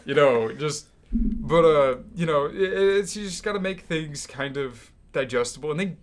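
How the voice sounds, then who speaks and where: A young man co-hosting a student podcast, talking casually about movies with animated, hyperbolic reactions. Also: fairly high pitch, a little slow. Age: young adult. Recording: noticeable background room noise.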